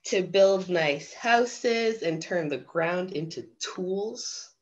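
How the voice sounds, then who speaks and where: Playful storytime reader with lively, unhurried woman's voice. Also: mid-range voice, muffled recording. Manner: playful, theatrical, informal asides to viewers. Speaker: drag storytime guest reader. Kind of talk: casual children's storybook reading with playful asides. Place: video stream in front of a green screen.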